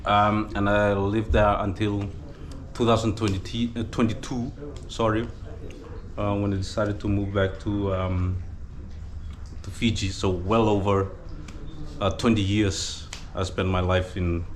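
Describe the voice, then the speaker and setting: Slow, hesitant man with Danish accent. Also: fairly low pitch, little pitch movement, slight background noise. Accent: Danish, with a Fijian tinge. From Denmark. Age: 20s. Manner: hesitant, many fillers and restarts. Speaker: vlogger. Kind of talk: casual Q&A chat. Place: village gathering in Fiji.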